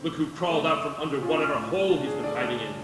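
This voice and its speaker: A man playing a gruff, hostile bar owner in a 1940s radio play, brusque, slangy and sneering. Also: a little high, quick pace, close to an everyday voice. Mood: bullying, angry. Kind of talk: 1940s radio drama acting on stage. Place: live theatre stage. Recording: very echoey stage, loud music behind.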